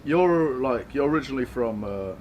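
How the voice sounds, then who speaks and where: A young man hosting a casual chat, speaking hesitantly with many pauses and restarts. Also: medium pitch, animated, a little mellow, a little hiss or hum. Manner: hesitant, lots of fillers and restarts. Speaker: podcast host.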